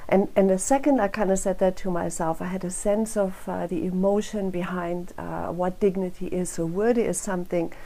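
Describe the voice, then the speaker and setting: A middle-aged woman with a German accent, the director of a crime prevention council giving an earnest, reflective speech at a community rally, pausing often on "uh". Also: on the low side, steady tone, mellow. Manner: earnest and reflective, with frequent "uh" pauses.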